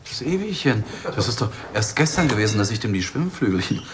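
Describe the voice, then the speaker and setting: A man's voice: fairly low pitch, very animated, fast, heavy background noise. An actor playing a grandiose, sentimental middle-aged father in an audio film drama, chatty and self-satisfied. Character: grandiose, sentimental father dreaming of cultured parenting.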